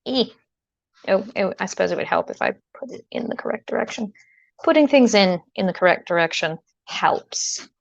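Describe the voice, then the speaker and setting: Lady streamer rambling playfully while she fiddles with gear, bit of vocal fry, kinda muffled audio. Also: medium pitch, expressive, normal speed, no room sound. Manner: chatty, rambling, hesitant, playful asides. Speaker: livestreamer, host. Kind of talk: casual livestream chat while tinkering with gear.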